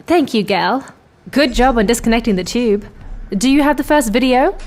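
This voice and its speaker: A woman's voice: fairly high pitch, moderate pace, a little mellow, lightly acted. An actress playing a guarded, grieving mother on a space mission in a sci-fi audio drama, polite and hesitant in her delivery. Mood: businesslike.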